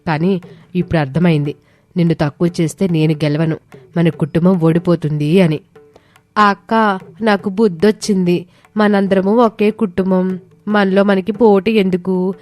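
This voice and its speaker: A woman's voice: lowish voice, fairly even intonation, normal speed, long pauses, darkish tone, close to an everyday voice. A woman voicing a spirited young village daughter-in-law in a moral story, earnest and emphatic. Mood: remorseful. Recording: music behind the voice.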